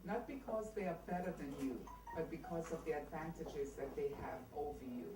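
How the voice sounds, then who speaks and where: Low-voiced middle-aged woman from trinidad, judge telling her life story at a community hall, echoey and far from the mic. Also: music in the background. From Trinidad and Tobago. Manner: warm storytelling, unhurried, laughs easily. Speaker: judge giving a guest speech. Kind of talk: personal speech at a community event.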